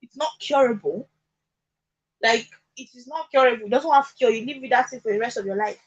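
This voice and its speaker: A woman with a Nigerian accent and a high-pitched, husky voice talks as a guest on a radio talk show. She argues her case conversationally and emphatically, repeating key phrases and checking that the listener follows. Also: a little fast, dull audio. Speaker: radio guest discussing social issues. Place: radio studio.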